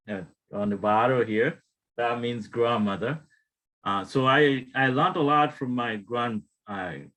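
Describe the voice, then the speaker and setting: A man in his thirties with a Ugandan accent speaks informally about himself as a guest panelist, often halting and restarting his sentences. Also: medium pitch, fairly bright tone, rough recording. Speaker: wellness tonic maker, guest panelist. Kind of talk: informal personal storytelling on a panel.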